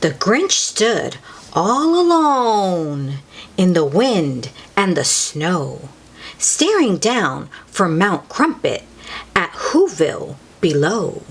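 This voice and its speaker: A woman's voice: fairly low pitch, big pitch swings, very slow, breathy edge. A woman reading a picture book aloud expressively to young children, adding exclamations and drawing words out for effect. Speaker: children's read-aloud host.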